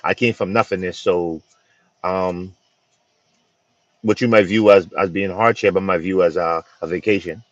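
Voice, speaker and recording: A man from the Bahamas co-hosting a casual podcast chat, halting and repeating words with many fillers. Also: low voice, fairly even intonation, no echo, lo-fi sound. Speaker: co-host.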